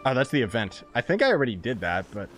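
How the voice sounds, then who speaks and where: A young man streams a video game in a mid-pitched voice. He chats casually and uses slang, with a sarcastic, joking edge. Faint music sits behind him. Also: very animated, quick pace, fairly bright tone. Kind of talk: casual live gameplay commentary.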